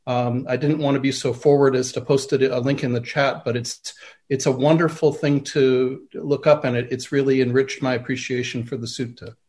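A man's voice: medium pitch, fairly even intonation, moderate pace, darkish tone. Man, class participant, hesitant, lots of ums, appreciative, on a video call.

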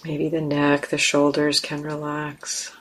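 A woman leading a guided meditation, speaking calmly and gently with long, unhurried pauses. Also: low-pitched, a little hiss or hum. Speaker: meditation teacher.

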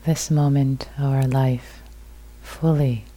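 A woman meditation teacher with a soft, husky, breathy voice, guiding a meditation in sparse, unhurried, soothing phrases. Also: a bass voice, steady tone. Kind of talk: guided meditation.

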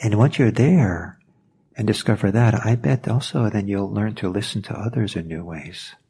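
A man whose first language is Norwegian, giving a reflective meditation talk, pausing and searching for words as he goes. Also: on the low side, warm tone, breathy edge. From Norway. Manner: thoughtful, hesitant, searching for words.

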